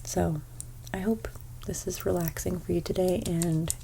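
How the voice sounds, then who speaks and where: A young woman with a stuffy nose giving an ASMR haircut role play as a hairstylist. She speaks softly, often slips into whispers, and makes gentle salon small talk. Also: fairly low pitch, fairly even intonation, a little slow, gravelly, slight background noise, poor audio. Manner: soft-spoken, drifting into whispers.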